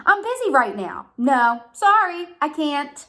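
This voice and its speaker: Storybook koala, young male, woman performer, high and lively, nervous, hesitant, reluctant to budge. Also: measured pace, heavily acted. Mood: nervous, apologetic. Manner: hesitant, stammering refusals. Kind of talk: children's storybook read-aloud.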